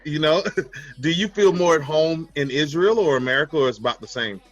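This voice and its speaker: A man hosting a relaxed interview, chatting in a friendly, joking way with an old friend. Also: on the high side, a little fast, highs cut off. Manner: friendly, joking, asks warm follow-up questions. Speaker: host. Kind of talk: casual interview chat.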